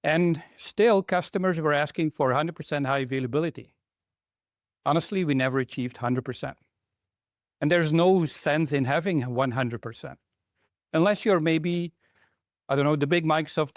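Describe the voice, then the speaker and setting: A man's voice: a little high, no echo, clean studio sound. A middle-aged man from Belgium giving a conference talk to a live audience, chatty and often asking the room questions. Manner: conversational, asks the audience questions. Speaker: conference speaker, technical trainer.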